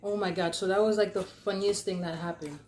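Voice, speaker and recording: A young adult woman telling stories casually and conversationally, animated and giggly, acting out what people said. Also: mid-range voice, brisk, a little hiss or hum. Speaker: podcast host telling stories. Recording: echoey, roomy sound.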